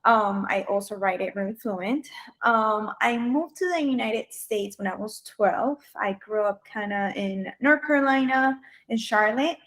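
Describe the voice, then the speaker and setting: A woman's voice: medium pitch, a little slow, a bit bright. Hesitant Colombian-born woman interviewing. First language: Spanish. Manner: hesitant, many ums and restarts. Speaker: job candidate. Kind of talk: video job interview. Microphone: video call.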